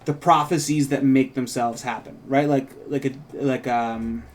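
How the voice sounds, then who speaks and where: A man's voice: mid-range voice, steady tone, unhurried, slight background noise. A man co-hosting a script reading, talking casually and thinking aloud in loose, rambling sentences. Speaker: co-writer and host. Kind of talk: casual creative discussion and script narration.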